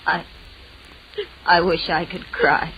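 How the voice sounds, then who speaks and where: A woman's voice: lowish voice, fairly even intonation, slow pace. A woman playing an overwrought, guilt-ridden young woman in an old radio horror drama, intense and melodramatic. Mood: guilty, drained. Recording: dull, noisy vintage radio recording.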